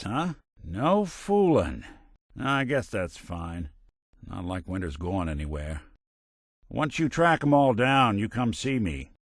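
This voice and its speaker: An actor playing a world-weary, loyal robot detective in a video game, with wry, folksy 1940s private-eye slang. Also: male voice, lowish voice, no echo, clean studio sound. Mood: matter-of-fact, wry. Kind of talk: video game companion voice lines.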